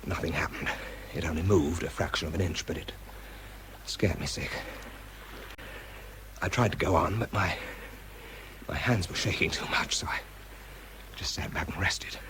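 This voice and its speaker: Low-voiced, tense British wartime bomb disposal man. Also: normal speed, pauses often, slight background noise. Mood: shaken, subdued. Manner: clipped reports alternating with quiet, confessional recollection. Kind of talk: radio drama acting, first-person narration and spoken report.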